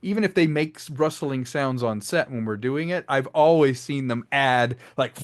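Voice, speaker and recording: A man with a medium-pitched voice talks casually as an interview guest. He explains his creative work with enthusiasm. The sound is dry, with very little room in it. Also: normal speed, no echo. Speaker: interview guest, audio drama creator and actor.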